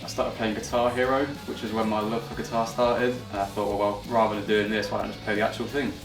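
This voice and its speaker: A man's voice: on the low side, level tone, fast talker. A twenty-year-old man, telling a story wryly in an interview. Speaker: band's lead guitarist. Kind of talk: documentary interview. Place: band practice room. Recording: echoey room, far from mic, music behind.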